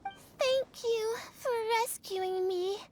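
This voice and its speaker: Little girl, extremely high pitch, very slow, timid, apologetic, halting, video game. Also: darkish tone, soft background music, full character voice. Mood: grateful. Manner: childlike, halting. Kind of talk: video game cutscene acting.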